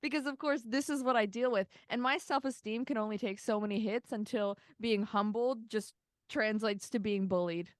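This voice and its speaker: A woman co-hosting a podcast, joking energetically in casual banter and swinging loud at times. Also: a little high, normal speed, dry recording, studio quality. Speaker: podcast co-host. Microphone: remote call, separate room.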